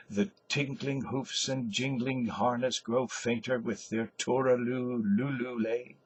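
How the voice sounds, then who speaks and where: An older man, an actor, giving a measured, deliberate dramatic reading of literary prose and stage directions. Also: mid-range voice, slightly rough. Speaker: actor reading a novel aloud. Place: small indoor space in front of a cloth backdrop.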